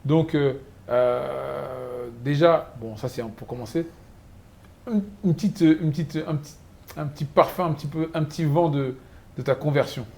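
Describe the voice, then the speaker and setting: A man hosting an interview, chatty and lively, telling anecdotes and asking questions. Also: a little high, normal speed, creaky. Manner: chatty, animated storyteller. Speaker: host. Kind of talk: interview, conversational.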